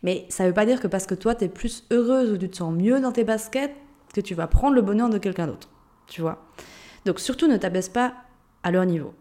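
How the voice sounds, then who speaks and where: A woman's voice: mid-range voice, moderate pace, mellow. A woman from Switzerland with a Jura French accent, hosting a solo mindset podcast and talking straight to the listener in a chatty, informal way. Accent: Swiss French, Jura. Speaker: podcast host and mindset coach.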